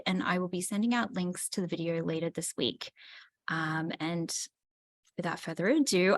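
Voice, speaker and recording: A woman's voice: medium pitch, dry recording. Woman reading a polite introduction. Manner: polite, formal. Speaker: library program host.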